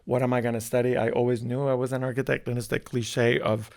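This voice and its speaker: A middle-aged man from Spain speaks with a gravelly, fry-edged voice at an ordinary pitch. He talks thoughtfully and haltingly, restarting phrases as he searches for words, in a relaxed interview. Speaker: architecture professor, guest. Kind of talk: casual interview conversation.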